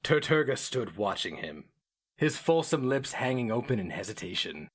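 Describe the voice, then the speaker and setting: A man giving a solemn, deliberate, dramatic reading of a fantasy story as its narrator. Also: medium pitch, big pitch swings, breathy edge.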